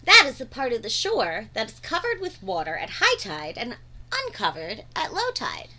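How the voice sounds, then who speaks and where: Eager storybook schoolmarm played by a woman reader, eccentric and instructive, high bright female voice. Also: wildly swooping pitch, moderate pace, exaggerated voice. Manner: instructive, enthusiastic explaining.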